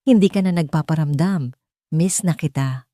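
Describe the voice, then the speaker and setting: A woman's voice: deep voice, normal speed, dark tone, no echo, studio quality, close to an everyday voice. A woman voicing a cheerful, persuasive woman gallery owner in an audiobook. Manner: breezy, coaxing.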